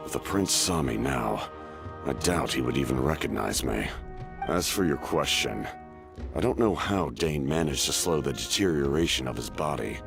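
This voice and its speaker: A man playing an ancient, cursed immortal warrior in a video game, speaking gravely and formally in measured, weary, gravelly tones. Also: very deep voice, lively intonation, over music, full character voice.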